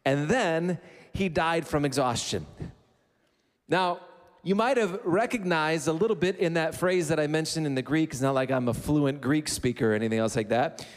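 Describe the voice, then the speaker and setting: Guy pastor giving a sermon, kinda higher voice, chatty with jokes, big echoey church hall. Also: normal speed. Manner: conversational preaching with asides and humor. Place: church sanctuary. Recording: long reverb of a church hall.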